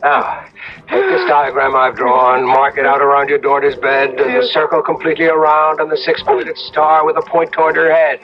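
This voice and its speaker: An actor playing an anguished, obsessive young student mystic in an intense, brooding radio drama style. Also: male voice, on the high side, animated, moderate pace, a crowd in the background, lightly acted. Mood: insistent. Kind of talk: old-time radio drama acting. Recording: dull, noisy old radio recording.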